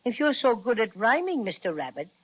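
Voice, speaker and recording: High-pitched cocky little teddy bear. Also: male voice, big pitch swings, brisk, heavily acted. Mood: self-important. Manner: blustery, easily affronted. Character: small gruff cocky cinnamon teddy bear, male. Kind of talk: vintage children's radio serial acting. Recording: dull lo-fi old radio recording.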